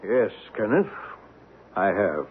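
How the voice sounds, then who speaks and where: A man's voice: fairly low pitch, sing-song, takes it slowly. Worldly middle-aged man, swooping intonation. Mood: resigned. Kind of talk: old radio drama acting. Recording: muffled, faintly noisy old radio recording.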